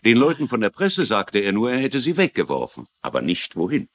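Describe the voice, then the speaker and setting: Older retired police lieutenant, lively intonation. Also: male voice, medium pitch, brisk. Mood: frank, wry. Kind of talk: German-dubbed TV crime drama acting.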